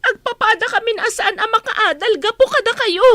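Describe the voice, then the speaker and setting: A woman's voice: very high voice, brisk, bright, forceful tone, no echo, clean studio sound. A woman playing an overburdened, resentful young eldest daughter in a radio drama, plaintive and reproachful.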